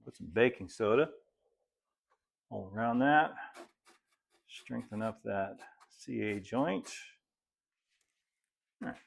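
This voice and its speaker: A man, narrating a hands-on hobby tutorial in a relaxed, folksy way, as if thinking aloud while he works. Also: medium pitch, creaky. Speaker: hobbyist presenter of model railroad build videos.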